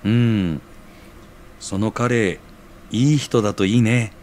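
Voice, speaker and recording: An actor playing a mild, good-natured, slightly bashful bachelor in a scripted audio drama. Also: male voice, lowish voice, slow pace, a little mellow, slight background noise. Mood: warm.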